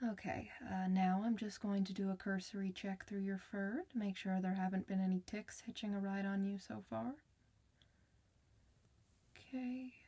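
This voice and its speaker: Woman playing a gentle, creaky-voiced ASMR clinician. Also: mid-range voice. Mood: soothing, encouraging. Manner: soft-spoken, soothing, encouraging, partly whispered. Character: gentle, reassuring female clinician for werewolves. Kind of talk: ASMR medical roleplay.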